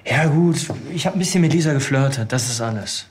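An actor playing an insolent young murder suspect in a TV crime drama, casual and defensive. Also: male voice, mid-range voice, animated, fairly quick, slightly rough. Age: young adult.

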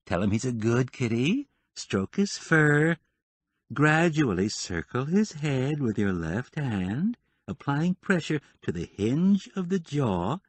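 A man voicing a brisk, capable middle-aged woman neighbor who gives confident, step-by-step instructions. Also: mid-range voice, big pitch swings, breathy edge, no room sound, pitched above the performer's own voice, heavily acted. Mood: confident instructing.

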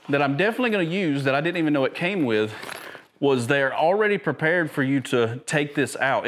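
A man's voice: mid-range voice, a little hiss or hum. A man, talking casually and enthusiastically to camera while demonstrating gear in a large garage workshop, with lots of fillers and restarts. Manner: chatty, enthusiastic, filler-heavy, restarts often. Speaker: YouTube camping and gear host. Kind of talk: casual product demo to camera. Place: large garage workshop with tool chests, indoors.

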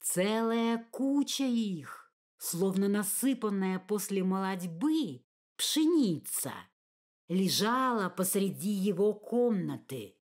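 Unhurried woman narrating a fairy tale. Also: mid-range voice. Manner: expressive, measured storytelling. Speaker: audiobook narrator.

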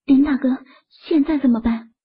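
A woman's voice: high voice, normal speed, narrow, tinny audio, close to an everyday voice. An actress playing an anxious, timid young woman in an audio drama.

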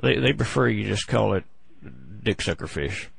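Man, very gravelly, low voice, halting, mock-earnest, prank caller, complaining. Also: animated, heavy background noise. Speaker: prank caller on a comedy show. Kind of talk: comic prank phone call.

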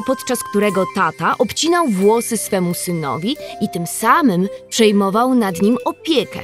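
A woman narrating a scripted history story for children, measured and addressing her young listeners directly. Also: medium pitch, natural voice. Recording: music under the voice.

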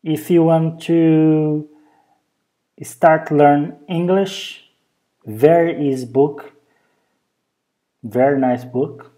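A native Brazilian Portuguese speaker with a Brazilian Portuguese accent, speaking hesitant English with many pauses in a casual show-and-tell vlog recorded at home on a handheld camera. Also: mid-range voice, fairly even intonation, dark tone. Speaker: vlogger. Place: indoors at home, over a bed.

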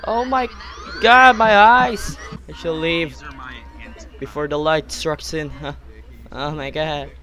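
A young man casually commenting while playing a social VR game, joking, laughing and breaking into loud outbursts. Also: a little high, steady tone, slow pace, pauses often. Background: game music.

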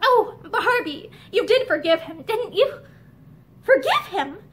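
A woman's voice: extremely high pitch, animated, takes it slowly, a bit bright, voice pushed up, full character voice. Woman doing a sobbing, anguished young woman. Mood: pleading. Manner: tearful, breaking into sobbing laughter. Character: distraught young woman, sobbing and self-reproaching.

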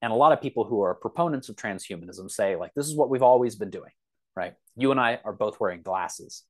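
Low-voiced man talking casually. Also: expressive. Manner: halting, enthusiastic, thinking aloud. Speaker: podcast guest, author. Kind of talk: casual discussion.

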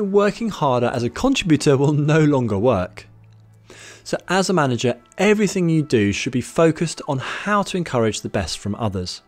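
Brief: British male presenter, 30s, management-training video, animated pitch, clear and methodical, light music bed. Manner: measured, instructive, lists points in order. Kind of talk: scripted presenter talk to camera. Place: studio with plain grey backdrop. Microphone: studio mic on boom arm in front.

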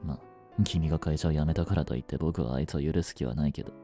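A young man acting a jealous, possessive yandere boyfriend in a romantic voice drama, with a soft, coaxing, controlling manner that is sweetly menacing. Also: extremely low pitch, fast talker, soft background music. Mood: seething jealousy. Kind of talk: Japanese romantic situation voice drama.

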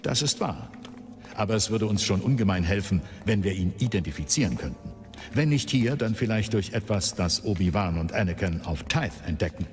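A man plays a composed, commanding warrior-monk general in a radio drama. His voice is fairly low and terse, with long reverb and music behind it. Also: expressive, normal speed, natural voice. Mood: considered. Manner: terse, authoritative. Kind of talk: radio play acting.

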